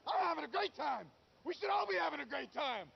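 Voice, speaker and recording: Upbeat coach: oblivious, brittle forced cheer, highish animated voice, comedy drama. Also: male voice, fairly quick, lo-fi sound. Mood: exasperated. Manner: forced cheer, pep-talking. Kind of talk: comedic screen acting.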